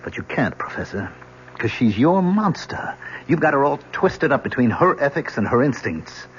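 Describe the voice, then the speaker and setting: A man's voice: mid-range voice, very animated, normal speed, close to an everyday voice. A man as a sneering, sarcastic young American convict. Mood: mocking. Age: young adult. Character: embittered, sarcastic young male convict, mocking and defiant. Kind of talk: old-time radio drama acting. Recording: muffled, faintly hissy old radio recording.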